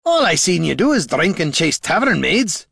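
A man voicing a gruff, bossy, impatient warrior leader in a fantasy audiobook, with a blunt folksy bark. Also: on the high side, big pitch swings, moderate pace, fairly bright tone, no room sound, clean studio sound, voice pushed up, heavily acted. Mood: exasperated.

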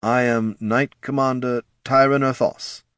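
A man's voice: mid-range voice, flat delivery, unhurried, a bit bright, clear, resonant tone, dry recording, clean studio sound, voice pushed up, close to an everyday voice. A man voicing a puzzled, plain-spoken knight commander in a fantasy audiobook, serious and blunt.

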